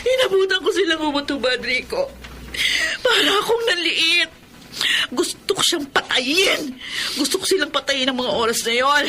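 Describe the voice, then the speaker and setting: An actress playing an outraged, tearful grown daughter in a Tagalog radio drama, emotional and accusing. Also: female voice, sits high, animated, moderate pace, sharp tone. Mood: grieving, bitter. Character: anguished, outraged grown daughter betrayed by her mother.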